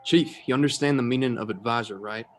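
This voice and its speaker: A wry army sergeant acted by a man with a medium-pitched voice, read for a military drama. He needles and reports in informal soldier talk. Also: quick pace, a little hiss or hum, lightly acted. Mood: urgent. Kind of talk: screenplay table read.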